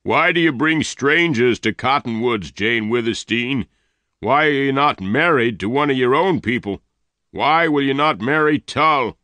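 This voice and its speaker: A man's voice: medium pitch, animated, sharp tone, no room sound, voice pushed up. A man voicing a fat, gray-haired older male leader who fires off demanding questions in a raised voice. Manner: demanding, hectoring rapid questions.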